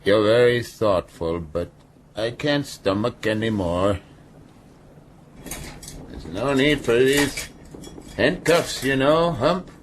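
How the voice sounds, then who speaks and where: An actor playing a brutal, sardonic ship's captain in a radio drama, weakened and half-paralyzed, speaking in a mocking, philosophical way. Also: male voice, medium pitch, lively intonation, slow, long pauses, slight background noise. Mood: weary, sardonic. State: ailing, weakened.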